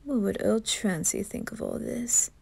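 A cold male demon butler voiced by a woman in an ASMR drama. He taunts with haughty, measured slowness and a sneer. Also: mid-range voice, wildly swooping pitch, gravelly, mellow, slightly rough, heavily acted. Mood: cold, menacing. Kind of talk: ASMR roleplay.

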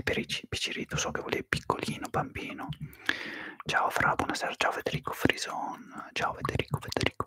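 A man whispering through a live ASMR stream, softly greeting viewers and slowly repeating their names into a close microphone. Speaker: ASMR livestreamer.

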